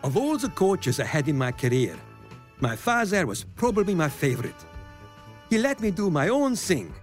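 A man's voice: a little high, big pitch swings, moderate pace, faint music behind. An actor playing a grown football star who fondly and wryly tells of his boyhood. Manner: fond, wry first-person storytelling.

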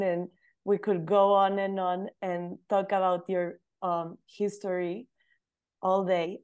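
A woman's voice: medium pitch, darkish tone. A woman co-hosting a podcast, thanking her guest and asking friendly, encouraging questions. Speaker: podcast co-host. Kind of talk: interview questions.

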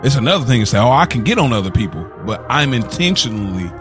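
A man's voice: mid-range voice, sing-song, fast, over music. A man hosting a podcast in a casual, conversational style, posing questions to others. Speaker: podcast host.

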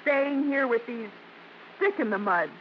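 Spirited high-voiced woman, old radio. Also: expressive, a little slow. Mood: fed up. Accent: American. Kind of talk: 1950s American radio drama acting. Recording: dull, faintly noisy old radio recording.

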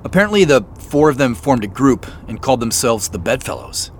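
An actor playing an inquisitive, slightly sheepish amateur sleuth in a naturalistic audio drama, talking in an easygoing, conversational way. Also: male voice, mid-range voice, sing-song, brisk. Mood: quietly excited. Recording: faint vehicle noise behind.

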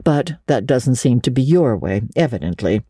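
A woman's voice: extremely low pitch, very animated, moderate pace, dark tone, husky, dry recording, voice pushed down, full character voice. A woman voicing a stern, practical older woman with a dry, sarcastic, no-nonsense manner in a dramatic storybook reading. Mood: dry sarcasm. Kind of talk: dramatic audiobook reading of classic children's literature.

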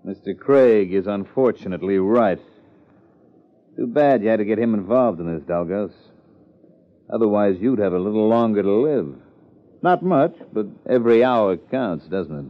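An actor playing a smug, mockingly polite crime boss in a 1950s radio drama, with a sardonic manner. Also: male voice, on the low side, lively intonation, normal speed, lightly acted. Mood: gloating. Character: smug, smooth-talking male murderous crime boss. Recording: muffled old radio broadcast.

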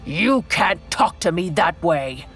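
A woman voicing a weary, drunken middle-aged father who speaks low and whines. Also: lively intonation, slow, a bit bright, breathy edge, faint music behind, full character voice. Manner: low, whining, tired.

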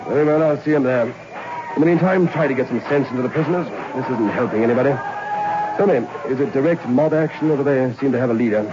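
A man's voice: mid-range voice, fast, lightly acted. An actor playing a stern male prison governor, an army major, speaking in a clipped, commanding way in a 1960s radio drama. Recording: dull lo-fi old radio recording with faint hiss.

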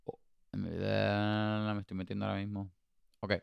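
Very clear-voiced podcast host. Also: male voice, lowish voice, monotone, moderate pace, no echo, lo-fi sound. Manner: playful, exclamatory, laughs often. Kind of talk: casual podcast chat mixing Spanish and English.

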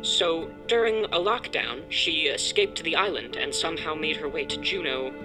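A woman playing a calm, logical robot boy in an audio drama, measured and matter-of-fact. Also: deep voice, over music, close to an everyday voice, poor audio. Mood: calm, reasoning.

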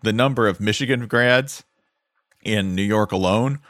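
Low-voiced man in emphatic podcast chat. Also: normal speed, fairly bright tone, no room sound, studio quality. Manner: profane, emphatic, acts out imagined dialogue. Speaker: podcast guest, sportswriter. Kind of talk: casual sports podcast chat.

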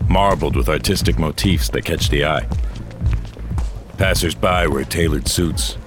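An actor playing a weary, stubborn old cyborg courier in a science-fiction audio drama, gruff, terse and dry. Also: male voice, low-pitched, close to an everyday voice. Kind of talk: audio drama acting and first-person narration.

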